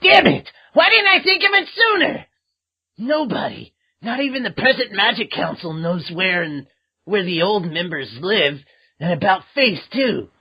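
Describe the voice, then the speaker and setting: A podcaster voicing a hot-headed young male fire mage in a fan dub of a manga chapter, shouting with urgency. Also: mid-range voice, sing-song, moderate pace, slightly rough, pitched above the performer's own voice, heavily acted. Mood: alarmed.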